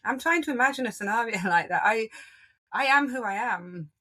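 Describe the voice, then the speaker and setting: Bright-voiced Yorkshire woman hosting. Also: a little high, expressive, fairly quick. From Yorkshire, England. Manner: reflective, chatty, with self-interrupting restarts. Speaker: podcast host. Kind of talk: conversational podcast interview.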